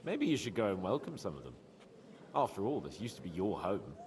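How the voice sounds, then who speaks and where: A man's voice: sits low, huge pitch swings, fairly quick, a little mellow, a little hiss or hum. An actor playing a jovial, teasing medieval nobleman in video game dialogue, breezy and fond of life's pleasures.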